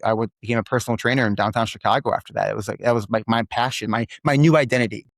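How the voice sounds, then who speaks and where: A man's voice: medium pitch, fairly even intonation, racing, creaky, no echo, clean studio sound. A man in his forties, a podcast guest telling his life story candidly and with self-deprecating humor, often restarting his sentences. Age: 40s.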